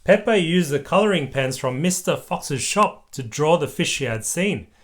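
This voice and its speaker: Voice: medium pitch, animated, normal speed. An adult reading a children's picture book aloud, chatting and prompting a small child with simple questions.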